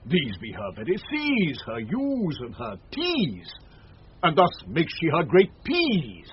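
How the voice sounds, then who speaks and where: A puffed up vain steward, slow n savoring it, voice swoops all over, old radio shakespeare. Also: male voice, on the high side, wildly swooping pitch, breathy edge, exaggerated voice. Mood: excited, puzzling. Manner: pompous, savouring each word. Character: pompous, self-loving steward lost in grand daydreams. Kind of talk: 1970s radio Shakespeare acting. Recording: dull vintage radio recording with faint hiss.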